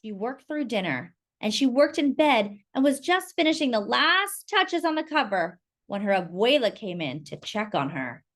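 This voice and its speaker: Expressive woman reading a picture book. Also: a little high, normal speed, hardly any pauses. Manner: expressive, animated narration with quiet asides. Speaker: storyteller. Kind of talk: picture book read-aloud.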